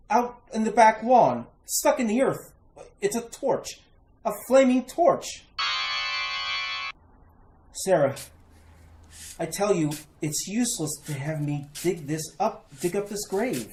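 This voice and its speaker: A man's voice: fairly high pitch, expressive, unhurried, darkish tone. An earnest, worried husband played by a man protests in the manner of an old horror radio play. His hesitant, reasonable speech swings widely up and down. The sound is roomy and echoing. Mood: alarmed. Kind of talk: retro radio horror acting.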